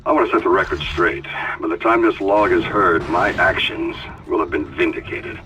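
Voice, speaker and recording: An actor playing a solemn, devout starship captain recording a grave, self-justifying personal log in a video game. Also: male voice, deep voice, normal speed, sharp tone. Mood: grave, resolute. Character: solemn devout starship captain, male, defending his secret mission. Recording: noisy radio-like log recording, distant.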